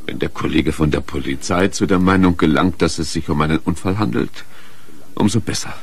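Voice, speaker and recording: An actor playing an earnest, conscientious doctor in a radio crime drama, formal and pleading, with a husky voice. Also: male voice, low voice, fairly quick. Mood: grave, apologetic. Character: earnest, conscientious male doctor shocked by a confession.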